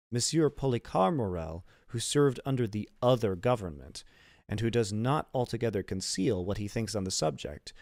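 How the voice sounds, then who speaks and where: A man's voice: medium pitch, vocal fry, dry recording, studio quality. A man voicing a scheming, self-serving ship's officer in an audiobook, insinuating and formally worded.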